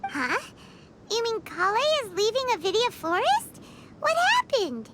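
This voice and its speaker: A woman voicing a tiny, excitable girl companion in a game, with squeaky, eager exclamations. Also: unhurried, slight background noise, full character voice. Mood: surprised.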